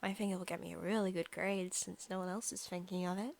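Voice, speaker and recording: A woman playing an obsessive, sadistic centuries-old female vampire in an ASMR roleplay, speaking softly and breathily with menacing, teasing turns into whispers. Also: lowish voice, fairly even intonation, quick pace, vocal fry. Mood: obsessed, sinister. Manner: soft, menacing, teasing, often dropping into whispers.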